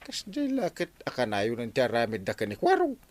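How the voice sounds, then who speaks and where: A man's voice: medium pitch, huge pitch swings, moderate pace, no echo. A man playing an exasperated village captain scolding people in an Ilocano radio comedy drama.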